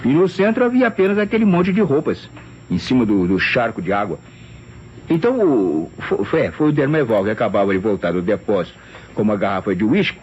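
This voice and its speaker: Man playing a flustered, animated secretary giving testimony. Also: lowish voice, a little fast, natural voice. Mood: bewildered. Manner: hesitant, stammering, tag questions, animated storytelling. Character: male private secretary, flustered, chatty, deferential witness. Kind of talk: old radio mystery acting. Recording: dull, noisy old radio drama recording.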